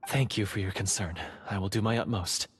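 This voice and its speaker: An actor playing a secretive male dungeon guard who is a covert ally, speaking in a hushed, urgent, earnest way. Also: fairly low pitch, fairly even intonation, brisk, slightly rough. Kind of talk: game voice acting.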